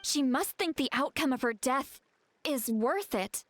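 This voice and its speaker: Earnest, higher-pitched young woman guide. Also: animated, unhurried, a little hiss or hum. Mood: serious. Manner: explanatory, earnest. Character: composed, knowledgeable young woman guide, serious and analytical. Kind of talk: video game voice acting.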